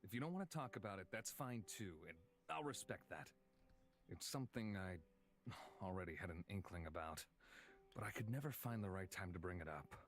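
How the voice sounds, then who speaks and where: Man playing a low-voiced, guarded male adventurer. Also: lively intonation, a little fast. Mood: concerned, careful. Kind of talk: dramatic visual novel voice acting. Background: background music.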